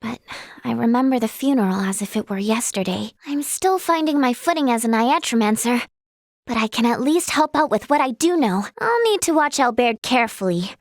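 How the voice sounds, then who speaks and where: A woman's voice: fairly high pitch, expressive, moderate pace, no echo, studio quality. A woman playing a sweet, timid, earnest teenage girl healer in a video game, with a light, girlish voice and a polite, hesitant way of talking. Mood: earnest, reflective. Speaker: voice actress. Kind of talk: video game character voice lines.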